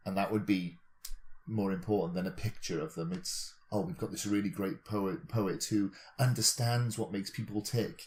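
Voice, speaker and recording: A man with a low, deep voice chats as a podcast co-host. He works through speculative ideas in a discursive way, building on examples as he goes. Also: fairly even intonation, moderate pace, a little mellow, a little hiss or hum. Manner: discursive, reasoning aloud with examples.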